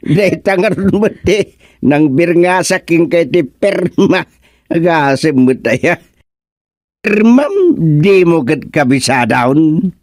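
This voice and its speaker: Guy acting a folksy village man in a radio comedy, slow drawn-out talk, dry close sound. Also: on the high side, animated, a little mellow, no echo. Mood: teasing.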